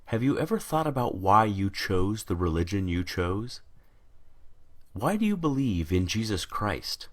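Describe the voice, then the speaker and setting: Male narrator, low register, highly varied intonation, deliberate and emphatic rhetorical delivery. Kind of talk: scripted rhetorical monologue.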